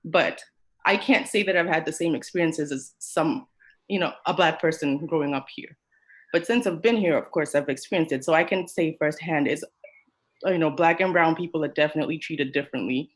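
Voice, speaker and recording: A woman's voice: deep voice, fairly quick, low-quality recording. A woman from Kenya, speaking emotionally and emphatically in a podcast discussion. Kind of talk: earnest discussion.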